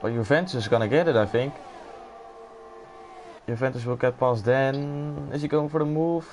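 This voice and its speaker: A man's voice: mid-range voice, moderate pace, darkish tone, slight background noise. A man with a Dutch accent commentating a live sim racing event, exclaiming and laughing at close moves. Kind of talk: live esports race commentary.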